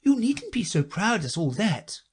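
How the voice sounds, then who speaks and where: A man's voice: sits high, sing-song, a little slow, slightly rough, voice pushed up, exaggerated voice. A man voicing a polite, curious young girl who asks earnest questions in a raised voice.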